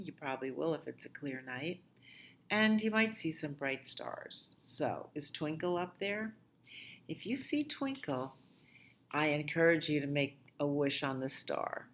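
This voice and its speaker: A woman hosting a storytime for young children, reading aloud and reciting rhymes in a gentle sing-song way, often dropping to a hush. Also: low-pitched. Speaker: children's librarian and storytime host.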